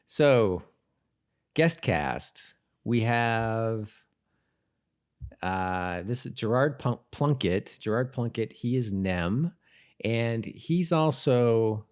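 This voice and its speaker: A man co-hosting a casual rewatch podcast, talking informally and haltingly as he corrects himself. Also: mid-range voice, expressive, clear, resonant tone. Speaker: podcast co-host.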